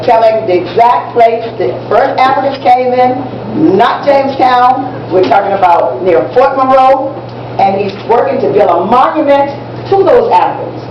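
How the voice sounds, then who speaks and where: Actress as proud, instructive American schoolteacher for a community stage play; emphatic repetition, muffled sound from far off. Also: female voice, fairly high pitch, lightly acted. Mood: earnest, proud. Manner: didactic, repeats and spells out key words. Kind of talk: amateur stage play acting. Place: indoor room at a public library. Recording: distant, echoey, muffled, noisy room recording.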